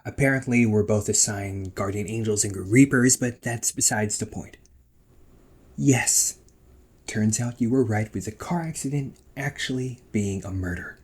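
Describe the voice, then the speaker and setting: Man acting a husky, devoted ghost brother. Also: lowish voice. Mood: wry. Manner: emotional, swearing, swings from outbursts to soft confessions. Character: protective ghost brother of a psychic detective, exasperated, devoted. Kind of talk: amateur audio roleplay, one-sided emotional dialogue.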